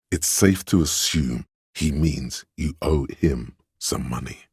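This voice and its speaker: A man playing a pedantic, erudite inner voice of encyclopedic knowledge in a video game, narrating facts in a dry, matter-of-fact deadpan. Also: rumbling low, vocal fry, breathy edge, no echo, heavily acted.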